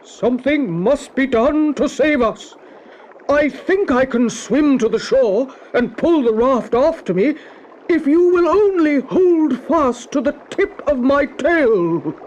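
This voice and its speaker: A brave and loyal lion, acted by a woman narrator speaks up earnestly in a storybook tale. The delivery is emphatic and unhurried, on a slightly dull recording. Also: high-pitched, lively intonation, darkish tone, a little hiss or hum, pitched above the performer's own voice. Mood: urgent, resolute.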